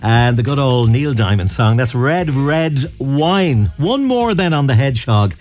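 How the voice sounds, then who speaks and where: Lively Irish man presenting on radio. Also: mid-range voice, unhurried, faint music behind. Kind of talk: chatty local radio presenting.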